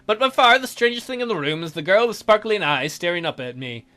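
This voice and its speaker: A man reads a visual novel aloud for a let's play with theatrical, joking delivery. His voice is high-pitched and bright, with wide swings, over soft background music. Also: expressive, fairly quick. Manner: theatrical, jokey.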